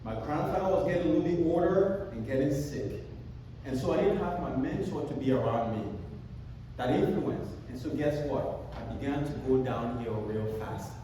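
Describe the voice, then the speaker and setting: A man in his thirties from Cameroon with a Cameroonian accent, giving an animated motivational keynote at a conference and telling his story with self-deprecating jokes. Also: medium pitch, moderate pace, a little hiss or hum. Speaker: conference speaker. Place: large conference hall. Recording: echoey hall, speaker far from mic.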